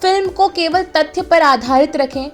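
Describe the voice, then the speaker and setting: Woman, very high voice, earnest and emphatic, scripted explainer delivery, light music underneath. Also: moderate pace. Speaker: presenter of a video explainer.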